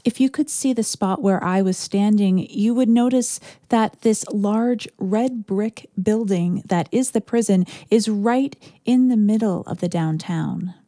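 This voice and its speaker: A woman's voice: medium pitch, measured pace, hardly any pauses, warm tone, no echo, clean studio sound. A woman with a Canadian accent hosting a podcast with reflective narration. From Ontario, Canada. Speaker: podcast host, reverend.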